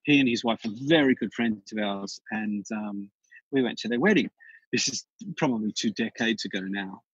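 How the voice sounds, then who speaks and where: A man with an Australian accent, a podcast guest telling stories in a chatty, animated way with frequent restarts. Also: lowish voice, normal speed, slightly rough, no room sound, dull audio. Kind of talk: casual interview chat.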